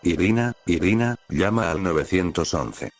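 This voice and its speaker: A man's voice: deep voice, expressive, moderate pace. Man voicing a panicked young woman, urgently pleading. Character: panicked young female student pleading for help. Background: prominent music bed.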